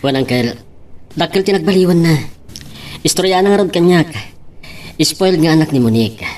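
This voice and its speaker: A man's voice: fairly high pitch, animated, normal speed, a little mellow, lightly acted. A man playing a humble, shy young working man in love in a radio drama, with an Ilocano Filipino accent. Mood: shy, confiding.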